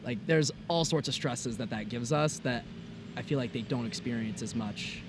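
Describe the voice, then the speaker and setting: A young man from the United States co-hosting a podcast, telling stories in a casual, animated way full of "like" and "I was like.". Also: mid-range voice, moderate pace, noisy background. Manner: animated storytelling, lots of "like" and "I was like". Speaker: podcast co-host. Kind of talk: casual conversation. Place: outdoors in the mountains.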